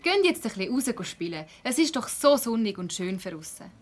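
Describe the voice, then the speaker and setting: A woman's voice: a little high, sing-song, fairly quick, faint music behind. A woman with a Swiss German accent playing a female village mayor who patiently placates children in an audio drama. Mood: placating.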